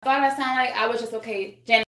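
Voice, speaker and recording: A woman's voice: on the high side, steady tone, very fast, fairly bright tone. Chatty woman in an echoey room. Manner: rambling, swears casually, many restarts. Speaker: guest singer. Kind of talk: casual podcast chat.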